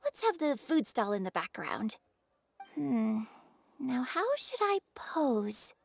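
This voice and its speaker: A woman's voice: a little high, very animated, slow pace, creaky, heavily acted. A woman playing a poised young stage performer in a video game, friendly and playfully dramatic, speaking in a composed, articulate, theatrical way. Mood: friendly, upbeat.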